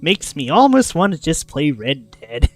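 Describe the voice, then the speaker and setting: A livestreamer reading a visual novel aloud with expressive narration and casual, goofy asides. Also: mid-range voice, a little slow, faint music behind.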